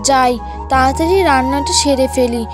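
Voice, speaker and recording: A woman voicing a meek, kind, sorrowful elder wife in a cartoon folk tale, with a gentle, plaintive manner. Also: high-pitched, fairly even intonation, a little fast, voice pushed down, lightly acted. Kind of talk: animated folk tale dialogue. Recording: voice over prominent background music.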